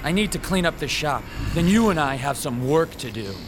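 A man's voice: fairly high pitch, animated, fairly quick, natural voice. An actor playing a timid, bullied man turned vengeful master in a horror radio drama, bitter, sarcastic and taunting. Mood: elated. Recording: music behind the voice.

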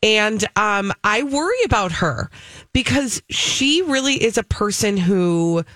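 Expressive woman co-hosting, dry studio sound. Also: medium pitch, slow, no echo. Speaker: radio show co-host. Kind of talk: casual entertainment chat. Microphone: close studio microphone.

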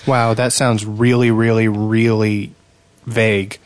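A man's voice: fairly low pitch, level tone, slow pace. A man co-hosting a casual gaming podcast, wry and teasing, with a slightly pedantic streak. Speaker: podcast co-host.

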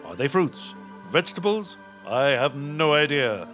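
A cocky radio space hero, music behind. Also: male voice, fairly high pitch, unhurried. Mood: assured. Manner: declaiming, self-assured, deadpan absurd. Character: cocky, dim, heroic space adventurer of radio serial. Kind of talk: old-time radio hero acting.

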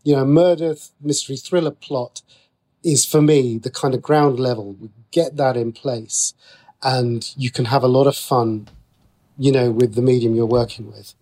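A man speaking as an interview guest, a writer explaining his creative work in a conversational, halting way, often rephrasing as he goes. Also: mid-range voice, fairly even intonation, mellow.

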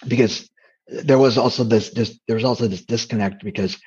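Soft-voiced man with a husky tone. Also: mid-range voice, a little fast, muffled recording. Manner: hesitant, with frequent restarts. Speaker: guest, dating coach and comedian. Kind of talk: reflective interview answers.

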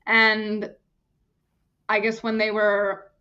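A woman's voice: medium pitch, completely flat delivery, very slow, bright, forceful tone, lo-fi sound. A young woman from Germany, an actress and stunt performer, chatting casually as an interview guest, often restarting her phrases.

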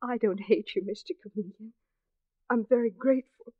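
An actress as earnest young woman, high voice. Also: moderate pace, warm tone, no echo, muffled recording, lightly acted. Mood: sad, bewildered. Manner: earnest, melodramatic. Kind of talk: 1940s radio acting. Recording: vintage 1940s radio recording.

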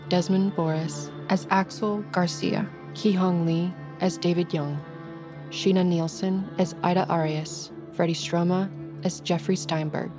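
Female credits announcer, scripted and measured, lowish voice, clear music bed.

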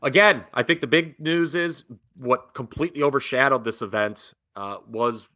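A young adult man co-hosting a podcast, ranting with animated disbelief in casual chat. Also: mid-range voice, normal speed. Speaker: podcast co-host. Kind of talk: casual wrestling review chat.

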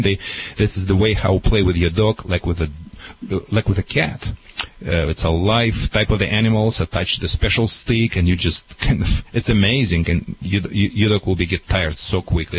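A man's voice: low voice, slightly rough, poor audio. A man giving dog training advice to a radio caller, in broken, emphatic English.

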